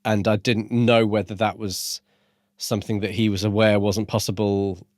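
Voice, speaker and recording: A man's voice: fairly low pitch, level tone, moderate pace, a little mellow, dry recording, clean studio sound. A man co-hosting a podcast and discussing a book in a casual, conversational style. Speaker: podcast co-host.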